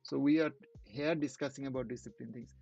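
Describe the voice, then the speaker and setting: A man whose first language is not English, hosting a trading channel and commenting casually on live charts in halting speech with many restarts. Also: medium pitch, steady tone, creaky, darkish tone, highs cut off.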